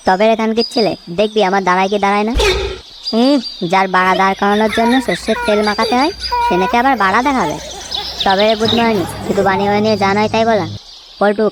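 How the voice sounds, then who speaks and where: A woman's voice: mid-range voice, noisy background, lightly acted. A woman voicing foul-mouthed, bickering young village lads in a comedy cartoon, crude and slangy. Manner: crude, slangy, cursing constantly.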